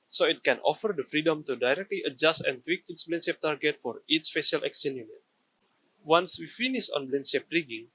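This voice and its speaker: A man's voice: a little high, bright, forceful tone, slightly rough, dry recording, rough recording. A man giving a scripted technical presentation, explaining carefully step by step. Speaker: game studio artist presenting a workflow.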